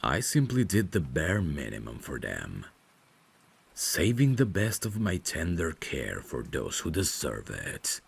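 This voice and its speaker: A man's voice: wildly swooping pitch, full character voice. A man voicing a courteous, devoted, centuries-old vampire butler in an ASMR roleplay, speaking formally and deferentially. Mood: earnest, tender. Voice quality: very deep, creaky. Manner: formal, deferential, measured servant's phrasing. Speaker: ASMR voice actor. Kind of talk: ASMR roleplay, dramatic scripted acting.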